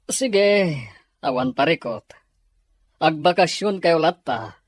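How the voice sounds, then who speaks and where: A man's voice: on the high side, lively intonation, slow pace, noisy background. A man playing an agreeable, indulgent boss and father in an amateur radio-style drama.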